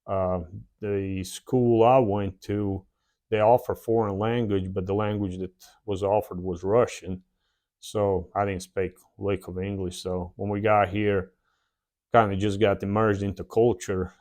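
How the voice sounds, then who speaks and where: A man in his forties from the former Yugoslavia, with a Slavic accent and a Southern drawl, telling his own story plainly with many "uh" pauses in his shed workshop. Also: on the low side, flat delivery, darkish tone. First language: a South Slavic language. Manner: plain, unhurried, frequent "uh" pauses. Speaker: channel host. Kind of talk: casual personal storytelling.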